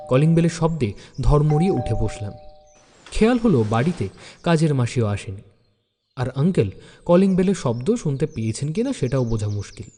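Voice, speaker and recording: Mellow, expressive young male story narrator. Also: on the high side, big-room sound. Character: earnest male university student telling his story. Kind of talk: scripted first-person story narration.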